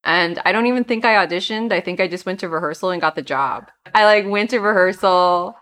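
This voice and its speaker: A young woman from New York with a deep, flat-sounding voice and a New York accent, telling stories casually as an interview guest. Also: brisk. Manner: casual storytelling, self-deprecating, many "um" and "like". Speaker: actress, podcast guest.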